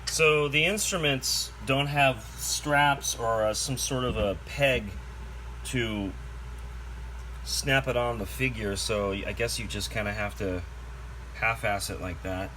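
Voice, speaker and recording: A man's voice: mid-range voice, takes it slowly, pushed and bright. A man giving a casual, rambling unboxing commentary with wry asides, as a collector showing off a toy. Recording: noisy homemade video recording.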